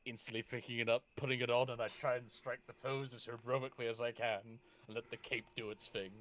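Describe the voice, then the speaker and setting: A man's voice: medium pitch, little pitch movement, quick pace, a bit bright, slight background noise. A man playing a tabletop game, chatting casually and narrating his character's actions.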